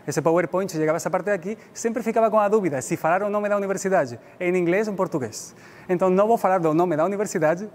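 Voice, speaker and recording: A middle-aged man from Spain with a Spanish accent, giving an informal, explanatory public lecture in a language that is not his native one. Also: fairly high pitch. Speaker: guest lecturer. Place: indoor lecture room. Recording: reverberant hall with background noise.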